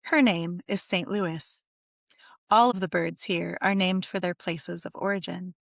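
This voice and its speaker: A woman poet reading her own poem aloud in a measured, deliberate way. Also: lowish voice, gravelly, no echo, clean studio sound. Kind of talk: poetry reading.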